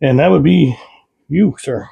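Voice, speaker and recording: A man's voice: a little high, unhurried, gravelly, breathy edge, lo-fi sound. A man hosting a tabletop game, talking casually through moves and dice rolls while losing his voice. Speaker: host.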